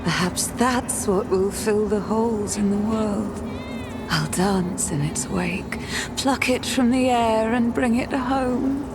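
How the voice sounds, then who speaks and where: Dreamy game mystic, slow, wistful, slightly high, heavy noise behind. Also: female voice, over music. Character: dreamy, otherworldly woman yearning for distant music. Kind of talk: poetic video game acting.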